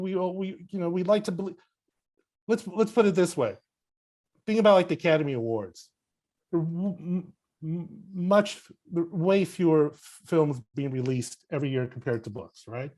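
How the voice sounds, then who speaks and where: Halting, emphatic man with a slightly high voice. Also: darkish tone. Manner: halting, emphatic, circles toward his point. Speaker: podcast guest, critic and editor. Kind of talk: casual panel discussion.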